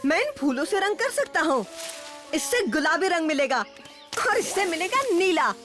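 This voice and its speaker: A woman's voice: very high-pitched, very animated, moderate pace, soft background music, heavily acted. A woman voicing an eager, curious young jungle boy in a cartoon, full of enthusiasm. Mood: eager, cheerful. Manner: bright-eyed, enthusiastic. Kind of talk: Hindi cartoon dub acting.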